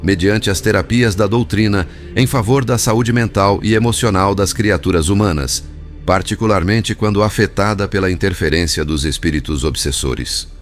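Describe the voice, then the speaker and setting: A Brazilian man reading a spiritist book as scripted narration, in a formal, measured manner. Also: on the low side. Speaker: audiobook narrator. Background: background music.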